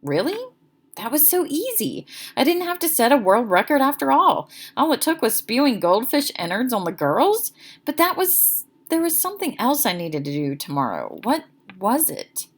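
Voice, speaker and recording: A woman's voice: on the high side, sing-song, moderate pace, breathy edge. A woman reading a children's chapter book aloud as narrator, expressively.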